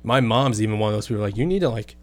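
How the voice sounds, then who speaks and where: A man's voice: fairly low pitch, animated, very fast, darkish tone. A young man telling stories in a casual chat, swearing freely and laughing often. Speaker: podcast guest.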